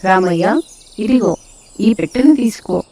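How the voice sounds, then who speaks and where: A woman's voice: mid-range voice, lively intonation, measured pace. A woman voicing a benevolent, commanding goddess of wealth in a children's cartoon story, proclaiming solemnly. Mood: gracious.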